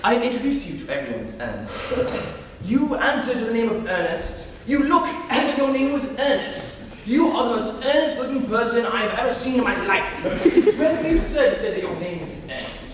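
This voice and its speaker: Noisy echoing hall, teenage male actor as flippant young gentleman, high-pitched, theatrical, teasing, stage comedy. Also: sing-song, moderate pace. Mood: amused, insistent. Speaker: student actor. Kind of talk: dramatic stage reading of a comedy. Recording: distant, very echoey hall, noisy.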